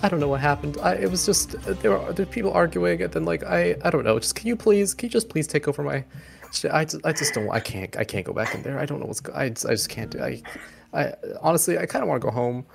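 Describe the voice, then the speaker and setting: A man's voice: on the high side, lively intonation, fast talker, vocal fry, soft background music, voice pushed up. A man voicing a scrawny, anxious guard who stammers, pleads and apologizes in a tabletop game. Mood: flustered pleading.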